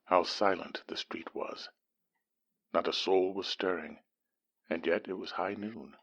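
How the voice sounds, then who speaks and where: An actor playing a haunted, grieving male survivor of a disaster, speaking in a halting, theatrical, poetic way. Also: sits low, fairly even intonation, a bit bright, highs cut off, full character voice. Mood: haunted awe. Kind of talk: dramatic monologue in audio drama.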